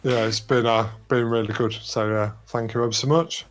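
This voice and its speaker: A man's voice: medium pitch, fairly even intonation, moderate pace, soft background music. A man talking casually as a guest in an informal panel discussion. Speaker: record label guest.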